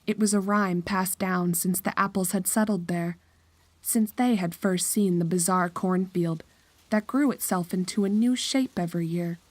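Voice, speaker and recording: A woman's voice: lowish voice, moderate pace, no room sound. A woman narrator reading a scripted horror story.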